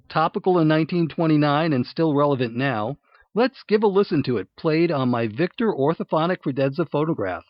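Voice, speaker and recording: Male presenter, fairly high voice, scripted music-history narration, slightly muffled recording. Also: no room sound.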